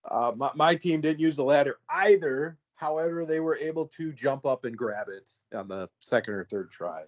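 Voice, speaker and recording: A man's voice: a little high, moderate pace, muffled recording. A man hosting a casual reality-show review podcast, talking in a rambling way with many fillers and easy laughs. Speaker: podcast host. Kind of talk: casual chat.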